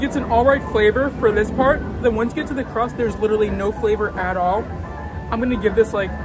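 A man's voice: high-pitched, low-quality recording. A young man in a casual food-review vlog, chatty and frank, often saying "like" and "literally", filmed outdoors at a theme park. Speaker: vlogger.

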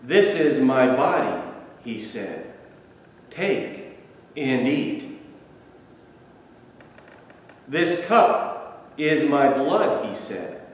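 A man in his sixties, a pastor giving a measured, emphatic sermon with deliberate pauses, filmed by a camera across a small church sanctuary. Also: medium pitch, big pitch swings. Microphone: far camera. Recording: echoey church from far off.

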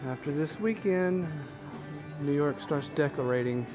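Slow-talking man filming in a store. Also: fairly high pitch, animated, over music, low-quality recording. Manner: chatty, easily delighted, narrating as he walks. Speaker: travel vlogger. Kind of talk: casual walkthrough vlog. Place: large department store, indoors.

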